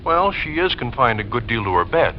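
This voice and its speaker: A noisy, muffled vintage radio recording features an actor as a secretive husband. His lively voice stays polite yet guarded and evasive, in 1940s suspense-drama style. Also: medium pitch, a little fast, close to an everyday voice.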